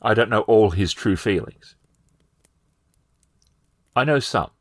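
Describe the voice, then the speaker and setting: A man voicing a plainspoken village man in a feudal fantasy Japan tabletop game, speaking in a measured, formal, halting way. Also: lowish voice, animated, dry recording, clean studio sound, exaggerated voice. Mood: somber, reflective.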